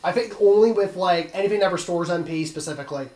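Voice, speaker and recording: A man hosting a let's play, explaining the game in casual, halting commentary. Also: high-pitched, darkish tone, echoey room.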